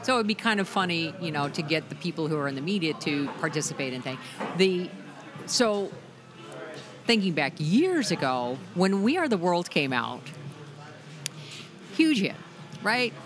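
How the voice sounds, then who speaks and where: A middle-aged woman with a deep voice, a musician guest chatting loosely in an interview, full of restarts and "you know". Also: very animated, moderate pace, pauses often, a crowd in the background. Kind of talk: casual interview chat.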